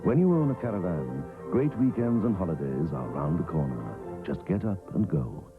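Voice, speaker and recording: A man with a gravelly voice reading a scripted television advert on a thin old recording. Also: low-pitched, sing-song, normal speed, phone-quality sound. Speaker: advert narrator. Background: music.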